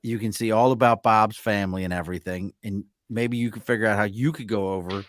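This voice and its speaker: A man's voice: fairly low pitch, fast, no echo, studio quality. A man hosting a podcast with a Baltimore accent, chatting casually and joking, often slipping into playful mock voices. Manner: jokey, animated, breaks into mock voices. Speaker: podcast host. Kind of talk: casual interview chat.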